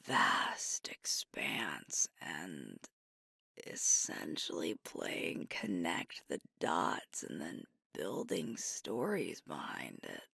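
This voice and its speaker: Breathy, whispering werewolf girlfriend played by a woman. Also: rumbling low, wildly swooping pitch, no echo, clean studio sound, heavily acted. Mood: tender, wistful. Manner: slow, tender, hushed delivery with pauses. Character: sweet, devoted young female alpha werewolf girlfriend. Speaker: ASMR roleplay performer. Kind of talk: intimate ASMR girlfriend roleplay. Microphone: close microphone, dry.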